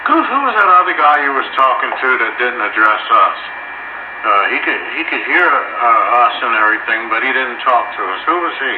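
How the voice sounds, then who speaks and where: A man's voice: mid-range voice, very animated, normal speed. A man in his sixties chatting casually with other operators over a two-way radio. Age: 60s. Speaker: amateur radio operator in a group chat. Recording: muffled two-way radio, loud static, echo.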